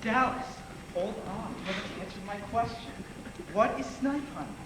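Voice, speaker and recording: A teenage male student actor playing a naive, good-natured high school boy in an amateur stage play. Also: expressive, moderate pace. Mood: puzzled. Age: teens. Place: theatre stage, indoors. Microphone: far camera. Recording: echoey stage, far camera, loud noise.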